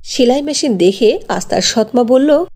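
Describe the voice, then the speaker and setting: A woman's voice: a little high, animated, unhurried, darkish tone. A woman narrating a scripted fairy tale for a cartoon as its storyteller.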